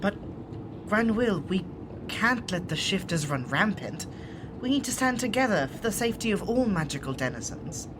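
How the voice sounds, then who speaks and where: A woman's voice: mid-range voice, big pitch swings, moderate pace, noisy background, lightly acted. A woman playing an earnest, persuasive exiled shapeshifter in a tabletop roleplay.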